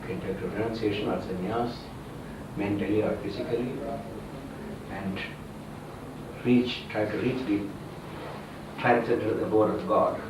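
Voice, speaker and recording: Male spiritual teacher, Indian accent, lowish voice, didactic and halting delivery, heard in a very reverberant room. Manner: didactic, halting phrasing with simple explanations. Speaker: swami, spiritual teacher officiating a wedding. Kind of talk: religious discourse at a ceremony. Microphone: far from the speaker. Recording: very echoey room, distant mic, noisy.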